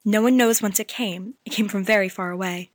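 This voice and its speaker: A secretive, frightened girl from long ago, as a male narrator puts her on, her voice raised fairly high and dry. She pleads in urgent, hushed tones, quick to warn of danger. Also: no room sound, close to an everyday voice.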